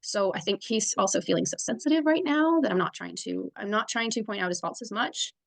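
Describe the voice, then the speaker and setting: A woman's voice: mid-range voice, brisk, creaky, no room sound. A woman who is a kindergarten teacher chatting casually on a video call, rambling with many fillers. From native English speaker. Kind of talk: casual mentor meeting chat.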